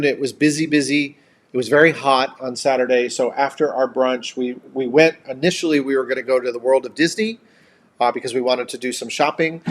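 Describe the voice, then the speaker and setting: A man's voice: mid-range voice, slight background noise. An American man co-hosting a casual live chat in a chatty, upbeat, playful manner. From upstate New York, United States. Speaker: host of a couple's travel live chat.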